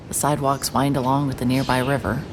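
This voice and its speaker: A woman's voice: rumbling low, little pitch movement, fast talker, a little hiss or hum. A woman narrating in scripted first person as a world-weary private investigator, dry and wry in tone. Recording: music bed under narration.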